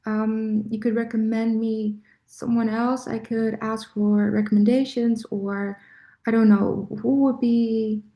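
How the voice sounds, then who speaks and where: A woman asking hesitant questions as a prospective student on a video call, with many pauses and fillers. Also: fairly high pitch, little pitch movement, mellow. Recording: echoey room on a video call.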